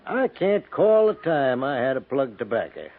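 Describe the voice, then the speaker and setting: Older american cavalry soldier, dry n teasing, folksy drawl, lively swingy pitch, crackly tinny old radio western. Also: male voice, normal speed. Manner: folksy, laconic frontier drawl. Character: dry, wry older cavalry trooper, teasing his partner. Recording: tinny, noisy 1950s radio recording.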